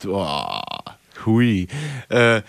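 A man's voice: lowish voice, wildly swooping pitch, extremely slow, gravelly, no room sound. A man hosting a casual, comedic radio show in a rambling, slangy, jokey way.

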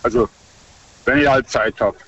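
A man's voice: mid-range voice, moderate pace, a bit bright, slightly rough. A middle-aged man calling in to a radio show, speaking plainly and rambling, often correcting himself. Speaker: caller. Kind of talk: casual phone chat. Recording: muffled, distant phone-in line.

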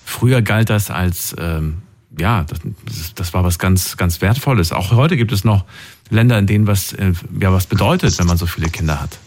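A man with a fairly low voice hosts a live call-in show. He talks informally and conversationally, putting questions to callers and addressing listeners directly. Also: expressive, normal speed. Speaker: radio call-in show host. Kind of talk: casual live talk with callers.

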